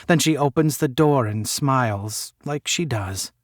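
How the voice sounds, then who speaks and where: A man's voice: medium pitch, a little slow, no echo, studio quality, close to an everyday voice. A man playing a sardonic, moody young working man who narrates his own audio story, drifting between muttering and bitterness. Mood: dreamy.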